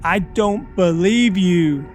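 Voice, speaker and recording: A man voicing a psychotic male captor with a butcher knife, speaking slowly and menacingly, over faint background music. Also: high voice. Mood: cold. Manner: slow, menacing, preachy.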